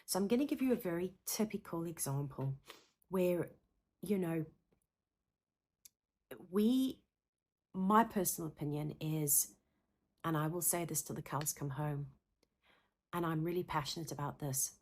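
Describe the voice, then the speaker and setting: This is a woman with a South African accent and a lowish voice. She teaches trainee therapists to camera in an earnest, passionate way, often doubling words for emphasis. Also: measured pace, pauses often, a little mellow. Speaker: counsellor and psychotherapist. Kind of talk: direct-to-camera teaching talk.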